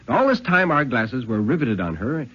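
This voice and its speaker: A man giving a theatrical, suspenseful dramatic reading of a first-person thriller in 1950s radio style, with deliberate phrasing. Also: mid-range voice, very animated, fairly bright tone, slightly rough. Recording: dull vintage 1950s radio recording.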